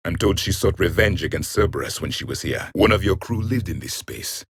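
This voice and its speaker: An actor playing an ancient alien warrior in a video game, the grim and scornful last of his race, with a husky, raspy voice and stern, haughty, formal phrasing. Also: low voice, expressive, a little fast, no echo, studio quality, full character voice. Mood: grim, disdainful. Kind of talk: video game character voice lines.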